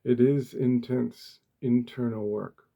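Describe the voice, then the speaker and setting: Male ASMR host, soft and gentle, mellow and breathy, unhurried deliberate delivery for guided meditation. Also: mid-range voice, fairly even intonation, highs cut off. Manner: gentle, deliberate, short phrases with pauses.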